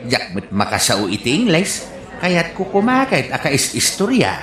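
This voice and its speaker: A woman's voice: sits low, wildly swooping pitch, unhurried, heavily acted. A woman playing a nosy, wheedling middle-aged uncle in a comedy radio drama. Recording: roomy echo.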